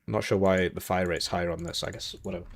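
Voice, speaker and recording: A man's voice: low-pitched, fairly even intonation, fast talker, creaky. A young man hosting a live game tournament stream, talking casually with jokes and chuckles while commentating the match. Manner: rambling, joking, chuckling between remarks. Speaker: tournament host and caster.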